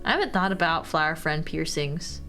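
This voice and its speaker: A woman's voice: deep voice, expressive, moderate pace, sharp tone. A woman VTuber streamer chatting casually and easygoingly with her viewers while she draws. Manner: chatty, easygoing, talks to chat. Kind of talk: casual livestream chat while drawing. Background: faint background music.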